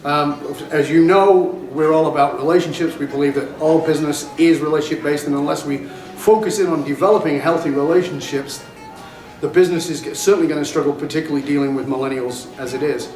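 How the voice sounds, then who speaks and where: A man hosting a conversational podcast interview, informal and quick to voice his enthusiasm. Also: on the high side, a little mellow. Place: conference venue. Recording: roomy echo, music behind.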